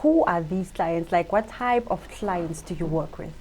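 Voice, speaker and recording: A woman co-host putting unhurried questions to a guest in an interview. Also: lowish voice, darkish tone, slight background noise.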